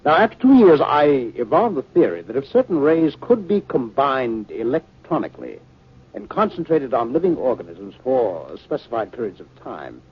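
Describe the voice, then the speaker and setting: An actor playing an earnest, respectful young scientist explaining himself in 1950s radio drama style. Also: male voice, medium pitch, huge pitch swings, moderate pace, slightly rough. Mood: eager, earnest. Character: earnest, deferential young male scientist inventor. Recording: muffled 1950s radio recording.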